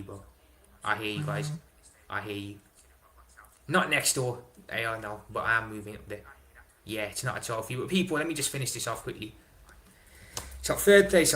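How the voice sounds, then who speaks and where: A young man hosting a casual live stream, reading chat aloud and answering in an excitable way, swearing and dropping slang. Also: fairly low pitch. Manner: excitable, swears, London slang like innit and big up. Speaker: livestream host reading chat.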